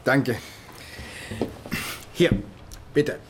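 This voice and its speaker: An actor playing a pushy, easily flustered police detective in a naturalistic German radio drama. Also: male voice, mid-range voice, extremely slow, noisy background. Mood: smooth, courting.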